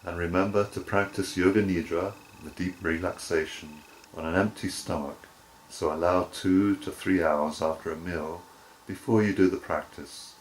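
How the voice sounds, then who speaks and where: A man teaching guided relaxation in measured, deliberate phrases with short pauses. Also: deep voice, fairly even intonation, slight background noise. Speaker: yoga nidra teacher.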